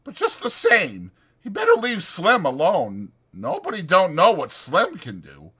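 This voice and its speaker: A gossipy young ranch hand as performed by a male reader, pitched high and swooping up and down. He talks in folksy, ungrammatical slang, lively and eager to share, in a dramatized storybook style with a bright, forceful edge. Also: measured pace, breathy edge, exaggerated voice. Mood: excited.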